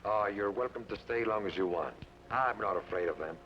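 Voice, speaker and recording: An actor playing a calm, fatherly older Mexican innkeeper who speaks slow and measured, in 1950s radio style. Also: lowish voice, natural voice. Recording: dull old radio broadcast with hiss.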